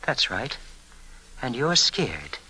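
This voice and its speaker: An actor playing an unhinged, cunning young murderer in an old-time radio thriller, muttering and repeating himself, then swinging into frenzy. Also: male voice, mid-range voice, sing-song, slow pace, bright, forceful tone, breathy edge, exaggerated voice, poor audio. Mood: smug menace. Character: unhinged young male murderer, cunning, paranoid, childishly boastful. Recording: vintage radio drama with dramatic music.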